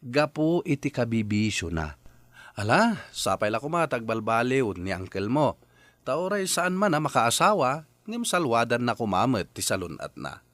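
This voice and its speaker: Animated Filipino male radio host. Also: mid-range voice, moderate pace, dry recording. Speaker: radio drama host and letter advisor. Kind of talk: advice and programme sign-off.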